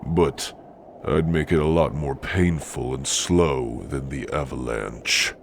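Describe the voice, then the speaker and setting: A hulking orc warrior with an exceptionally deep voice. He speaks slowly and sternly, with archaic, menacing phrasing and dark amusement, in an ASMR fantasy roleplay. Some background noise sits behind him. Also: creaky, full character voice. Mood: stern, threatening. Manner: slow, archaic, threatening phrasing.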